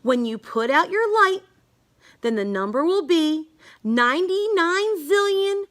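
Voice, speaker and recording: A woman reading a rhyming children's bedtime story aloud, halting now and then with small stumbles over words. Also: extremely high pitch, animated, a bit bright. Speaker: storybook reader.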